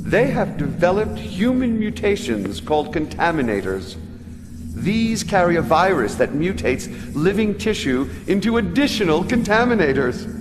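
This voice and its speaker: A man playing a shrewd, ominous advisor in a video game briefing, speaking in a measured, portentous, theatrical way. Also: fairly high pitch. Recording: noisy, long hall reverb.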